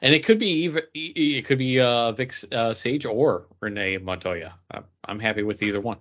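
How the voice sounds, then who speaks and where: A man's voice: mid-range voice, moderate pace, fairly bright tone, dull audio. A man co-hosting a podcast in loose, casual conversation with the panel. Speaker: comics podcast co-host.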